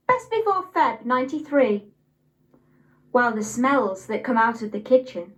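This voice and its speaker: Casting: young English woman, high-pitched voice, expressive poetry reader, unhurried and deliberate delivery. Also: poor audio. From Nottingham, England. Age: young adult.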